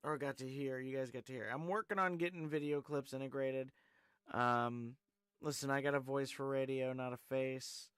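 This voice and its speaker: A man's voice: medium pitch, steady tone, moderate pace, a bit bright, clear, resonant tone, no room sound, studio quality. A man hosting a live call-in stream, chatting loosely, rambling with lots of fillers and reading viewers' comments aloud. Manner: rambling, filler-heavy, reads chat aloud. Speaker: call-in show host. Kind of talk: casual live-stream chat.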